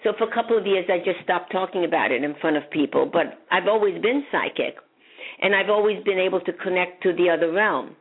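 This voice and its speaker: A woman's voice: on the low side, brisk, slightly rough. Chatty rambling woman from hungary, psychic guest, tinny phone audio. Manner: rambling, chatty, laughs often. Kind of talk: casual interview chat.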